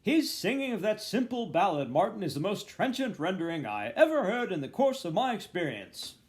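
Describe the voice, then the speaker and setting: A man voicing a pompous, brisk Edwardian gentleman from a novel, exclaiming his lines. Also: high-pitched, big pitch swings, pitched above the performer's own voice. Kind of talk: storybook character voice.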